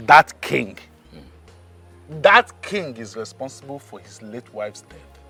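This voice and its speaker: A man's voice: mid-range voice, sing-song, slow pace, vocal fry, pushed and bright, exaggerated voice. A man playing a villager who gossips in a conspiratorial way about the king. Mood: gossiping. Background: music.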